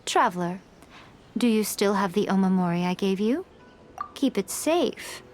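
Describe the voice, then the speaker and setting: A woman's voice: on the high side, slight background noise. A woman voicing a sly, playful fox-spirit woman in a game, with a poised, teasing way of speaking. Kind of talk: game dialogue.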